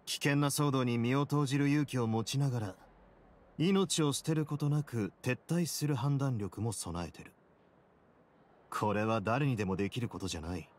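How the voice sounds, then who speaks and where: A male actor playing a composed, confident prison boss in a Japanese game, speaking in a measured, dryly courteous way. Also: mid-range voice, close to an everyday voice. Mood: composed, sincere. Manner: measured, cool, casually self-assured. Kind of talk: Japanese anime game voice acting. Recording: studio voice over game music.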